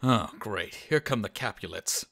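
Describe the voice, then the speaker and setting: A man playing a sensible, cautious young friend in an audio drama, reasoning in an expressive way. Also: fairly low pitch, unhurried, gravelly, no room sound, clean studio sound, full character voice. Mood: wary.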